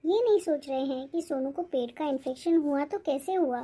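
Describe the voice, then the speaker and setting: A woman's voice: high voice, normal speed, warm tone, close to an everyday voice. A woman voicing a put-upon young mother and daughter-in-law in a cartoon family drama, polite but aggrieved. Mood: resentful.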